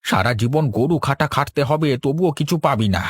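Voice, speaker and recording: A man's voice: a little high, lively intonation, brisk, dry recording, clean studio sound. A man voicing a bitter, disapproving old farmer father in a cartoon, scolding and nagging. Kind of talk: cartoon dialogue.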